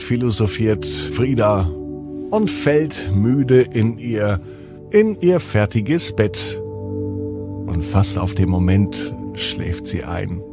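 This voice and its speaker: A man narrating a bedtime story for children in an expressive, playful way, drawing out words and pausing for effect. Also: medium pitch. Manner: deliberate, playful, with drawn-out words and dramatic pauses.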